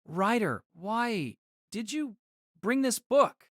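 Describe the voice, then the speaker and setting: A woman's voice: fairly high pitch, sing-song, slow, no room sound, studio quality. A woman playing a timid, whiny young male mage in an audio drama, plaintive and hesitant. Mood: bitter disbelief.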